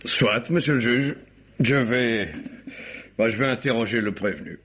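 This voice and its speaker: A man's voice: medium pitch, normal speed, a bit bright, soft background music, natural voice. An actor playing a shrewd, celebrated nineteenth-century French police detective in a radio drama, polite to superiors and probing in his questions. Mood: probing, firm. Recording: dull, lo-fi old radio recording.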